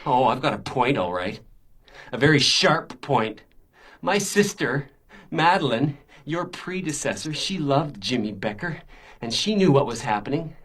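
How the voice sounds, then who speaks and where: A man's voice: medium pitch, lively intonation, measured pace, fairly bright tone, husky. A menacing, self-pitying stalker from a radio crime drama. He taunts and insinuates under a show of politeness, a spurned oddball who sounds as if he enjoys the threat. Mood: bitter, insinuating.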